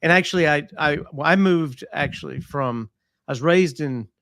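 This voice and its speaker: A man from East Texas with a Southern accent, chatting casually as a guest in a folksy, halting way. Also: a little high, fairly bright tone, dry recording. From East Texas, born in Tennessee. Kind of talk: casual chat.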